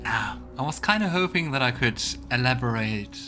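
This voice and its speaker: A man's voice: medium pitch, lively intonation, moderate pace, music in the background. A man hosting a video game let's play with casual, hesitant, musing commentary. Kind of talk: casual gaming commentary and voiced dialogue reading.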